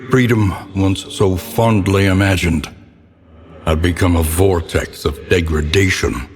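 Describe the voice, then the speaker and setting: A male actor voicing a grim, ominous storyteller for a dark gothic game, narrating in a grave, theatrical, measured way with ornate delivery. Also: low voice, fairly even intonation, slightly rough. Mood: somber, dramatic. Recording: long reverb, noisy ambient bed.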